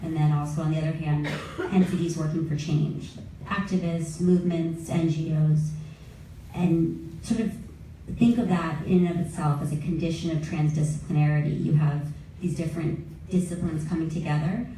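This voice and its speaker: Mellow-voiced woman moderating in a big hall. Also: sits low, fairly even intonation, normal speed, a little hiss or hum. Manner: thoughtful, searching phrasing with fillers. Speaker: panel moderator. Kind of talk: posing a panel question. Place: conference room panel, large hall. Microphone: table mic, recorded from the audience. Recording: echoey hall from far off.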